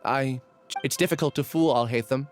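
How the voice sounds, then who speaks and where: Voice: mid-range voice, moderate pace, soft background music, natural voice. A hesitant, conflicted young man in a video game who speaks reflectively.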